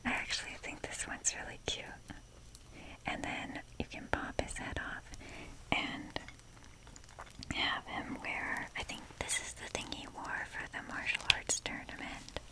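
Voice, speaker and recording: A young adult woman whispering in a breathy, casual, rambling ASMR style as she shows things off. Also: poor audio. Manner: unhurried, informal, rambling. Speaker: ASMR video creator.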